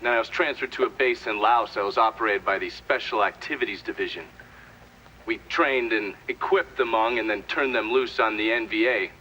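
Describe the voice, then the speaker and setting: An actor playing a cocky former spy giving testimony in a video game, blunt, dry and unbothered. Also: male voice, fairly low pitch, brisk, bright, forceful tone, dull audio, slight background noise. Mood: dry, assured. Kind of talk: video game documentary-style testimony acting.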